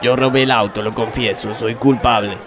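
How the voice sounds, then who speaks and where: A man's voice: medium pitch, steady tone, moderate pace, lightly acted. An Argentine man playing a young confessed car thief in a comic radio play, blunt and terse. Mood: flat, blunt. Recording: crowd noise behind the voice.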